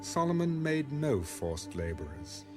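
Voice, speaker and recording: A man's voice: medium pitch, big pitch swings, a little slow. A man narrating scripture in a scripted, dramatic reading style. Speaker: audio Bible narrator. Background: music.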